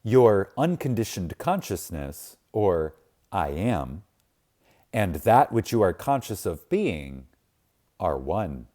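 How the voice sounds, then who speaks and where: Slow, solemn man reading scripture-like text. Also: deep voice, big pitch swings, dark tone. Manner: solemn, emphatic, with deliberate pauses. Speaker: reader of a spiritual book. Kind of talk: reverent scripted reading, audiobook-like.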